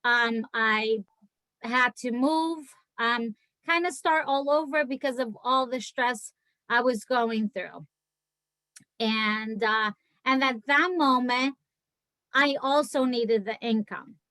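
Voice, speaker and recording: Portuguese-accented woman, 40s, unhurried, dry home-room video call. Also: on the high side, pushed and bright, no echo. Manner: chatty, laughs often, many fillers. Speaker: guest speaker on a team video call. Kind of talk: casual personal story.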